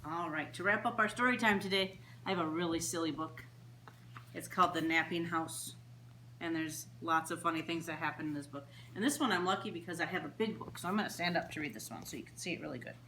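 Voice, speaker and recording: A woman reading picture books aloud to young children in an animated, deliberate story-time style with simple, child-directed phrasing. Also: lowish voice. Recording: roomy echo, faint background noise.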